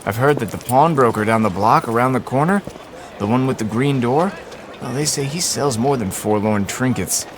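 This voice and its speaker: An actor playing a humble, kindly monk in a video game cutscene, earnest, courteous and a little hesitant. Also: male voice, medium pitch, normal speed, natural voice. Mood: troubled, earnest. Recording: noisy street ambience behind dialogue.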